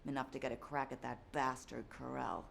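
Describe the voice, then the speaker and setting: A woman's voice: rumbling low, fairly even intonation, a little fast, creaky, fairly bright tone, a little hiss or hum, heavily acted. A woman voicing a tough, easygoing soldier in a video game, with a wry, teasing, casual way of talking. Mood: weary, stubborn.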